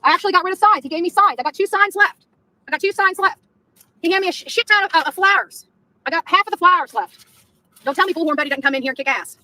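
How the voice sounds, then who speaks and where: Combative lady ranting live, high sharp voice, taunts and swears, says stuff twice. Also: fast talker, dry recording, rough recording. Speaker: activist streamer in a played clip. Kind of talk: confrontational live rant.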